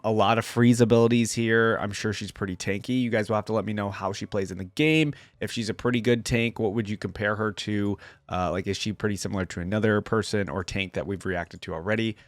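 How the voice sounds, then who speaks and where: A man's voice: medium pitch, no room sound, studio quality. A man hosting a reaction video, thinking aloud informally as he comments and reads text out. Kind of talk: casual reaction commentary mixed with reading story text and game descriptions aloud.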